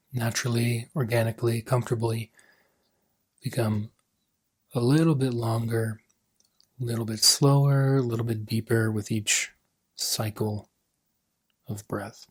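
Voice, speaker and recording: Guy doing guided meditation, kinda breathy husky voice, gentle, lots of pauses between short phrases. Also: medium pitch. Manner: gentle, unhurried phrases with pauses, trailing off softly. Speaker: meditation coach.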